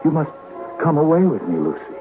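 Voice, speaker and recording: Earnest young lover, old radio sound. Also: male voice, a little high, sing-song, a little fast, narrow, tinny audio. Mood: urgent, devoted. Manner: melodramatic, earnest. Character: earnest young man, devoted secret lover. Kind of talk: old-time radio drama acting. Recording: band-limited old radio recording, music behind.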